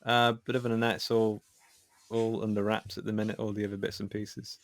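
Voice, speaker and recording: A man's voice: on the low side, flat delivery, a little fast, no room sound. A man speaking as a podcast guest in an informal, self-effacing, chatty way. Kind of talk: casual chat.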